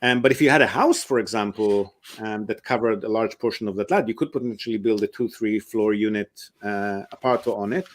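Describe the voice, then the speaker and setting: A man's voice: lowish voice, fairly quick, few pauses. A man, a real estate investment advisor, answers interview questions at length, explaining step by step with frequent ums and uhs.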